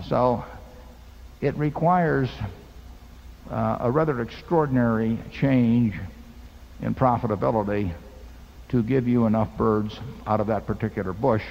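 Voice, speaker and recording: A man's voice: lowish voice, vocal fry, breathy edge. Older man explaining in a reverberant hall. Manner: folksy, patient, explains with a homely parable and arithmetic. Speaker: company chairman answering shareholder questions. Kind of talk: unscripted explanation at a podium. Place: large meeting hall stage, seated at a table. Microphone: table microphone. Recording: dull lo-fi hall recording with long reverb.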